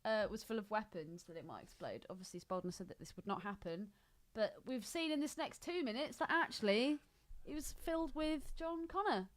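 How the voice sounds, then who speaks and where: A woman's voice: on the high side, fairly quick. A woman bantering casually as a podcast co-host.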